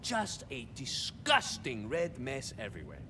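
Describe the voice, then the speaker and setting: An actor playing a swaggering young cyborg soldier of fortune in a video game, a touched flavor, musing theatrically and landing wry deadpan punchlines. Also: male voice, mid-range voice, measured pace, long reverb tail, background noise. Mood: wry storytelling. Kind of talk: video game character monologue.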